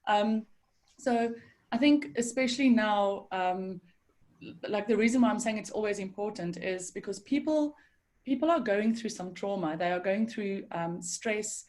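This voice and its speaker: A woman with a husky voice from hay fever, a lively panelist speaking over a video call. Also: medium pitch, unhurried. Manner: lively, with a smile in her voice. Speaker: people management panelist.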